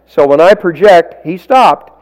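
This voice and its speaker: A man preaching in church, telling folksy stories with self-deprecating asides and a loud, projecting voice on a stage microphone. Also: a little high, measured pace, low-quality recording. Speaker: lay preacher. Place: church sanctuary. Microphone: stage microphone, walks around.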